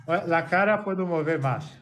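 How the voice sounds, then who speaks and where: A man's voice: fairly high pitch, normal speed, a bit bright, soft background music. A man from Brazil speaking Spanish with a Brazilian accent, chatting casually as a guest dog groomer. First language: Portuguese. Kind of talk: casual chat.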